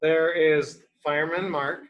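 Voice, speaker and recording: A man's voice: fairly high pitch, fairly even intonation, slow pace, highs cut off. A man reading a picture book aloud to young children, explaining in simple, repeated words and asking questions as a teacher does.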